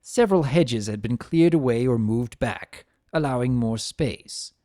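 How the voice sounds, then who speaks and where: An expressive narrator reads fiction with theatrical flair. The voice is soft-toned and mellow, moving widely in pitch, and recorded dry and close. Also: measured pace, no echo. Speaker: narrator of a fan fiction reading. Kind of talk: dramatic scripted narration.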